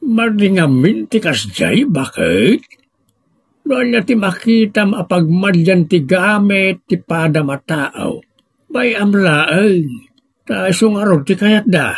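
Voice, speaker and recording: A man's voice: high-pitched, expressive, slow, breathy edge, dry recording, clean studio sound, full character voice. A man playing an exasperated, chiding village husband in an audio comedy drama. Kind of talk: Ilocano radio-style comedy drama acting.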